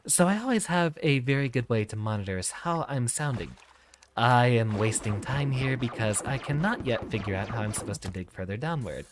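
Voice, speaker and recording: A man speaks in a cultivated, professorial streaming voice with a light gravelly fry on the vowels. His cadence is musical and softly feminine, rising and falling widely. Sentences are formal and deliberate, settling downward as they close. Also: medium pitch, expressive, slight background noise. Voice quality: voice placed at back of throat, raised above natural register. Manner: sentences swoop up and down, dropping at the end. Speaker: game streamer. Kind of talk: livestream commentary in a practiced professor persona.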